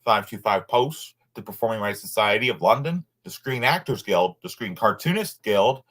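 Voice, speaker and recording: A man reading a nonfiction book aloud informally, sometimes halting over words and adding asides. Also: lowish voice, expressive, a bit bright.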